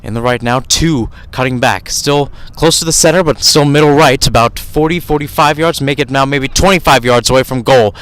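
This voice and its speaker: Male soccer play-by-play announcer, mid-pitched. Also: normal speed, hardly any pauses, fairly bright tone. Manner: running description with frequent self-corrections. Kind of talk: live radio soccer commentary.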